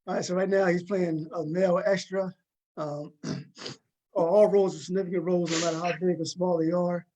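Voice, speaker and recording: A man's voice: high voice, level tone, a little fast, mellow. A man hosting a talk show, interviewing guests in a casual, informal way and often repeating his phrases.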